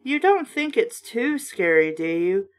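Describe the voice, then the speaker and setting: A woman reading a storybook, voicing a timid, frightened boy who speaks quietly and uneasily. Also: medium pitch, big pitch swings, a little slow.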